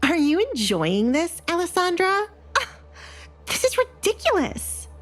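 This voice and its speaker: A woman's voice: extremely high pitch, huge pitch swings, measured pace, fairly bright tone, pitched above the performer's own voice, heavily acted. A woman voicing a spiteful, jealous young noblewoman in an audiobook, scoffing and taunting. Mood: scornful. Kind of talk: audiobook character acting. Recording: faint music behind the voice.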